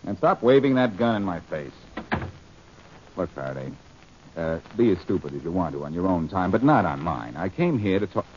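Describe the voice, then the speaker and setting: Wisecracking radio detective, low voice, lively swings, sardonic. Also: male voice. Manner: brisk, sardonic. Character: cool, wisecracking male amateur detective. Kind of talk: 1940s radio acting. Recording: noisy, dull old radio recording.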